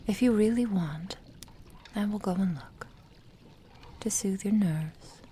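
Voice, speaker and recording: A doting yandere witch, acted by a woman, whispers slowly in a dark, creaky voice. She is possessive and secretive, soothing and coaxing her beloved as in an intimate ASMR roleplay. Light background noise sits behind her. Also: mid-range voice, lightly acted.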